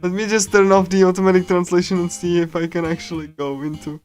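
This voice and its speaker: Higher-pitched man, halting livestream reader. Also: little pitch movement, darkish tone. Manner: halting, repeats and stumbles while reading. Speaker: streamer. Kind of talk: casual game livestream commentary and reading aloud. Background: game music.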